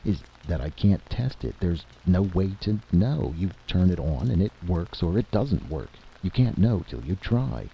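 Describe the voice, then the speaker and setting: A man's voice: deep voice, very animated, a little slow, gravelly, few pauses, dull audio, a little hiss or hum, exaggerated voice. A man voicing an earnest, resourceful male inventor in a time travel story, practical and plainspoken. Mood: worried.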